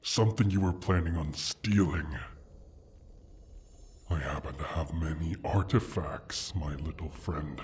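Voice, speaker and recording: A man voicing a proud, menacing dragon guarding his hoard in a slow, theatrical fantasy roleplay, with a breathy rumble and a deliberate, condescending manner. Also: a little mellow. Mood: silky, condescending. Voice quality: husky, breathy rumble with whispered edges. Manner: deliberate, brooding, condescending, sighing.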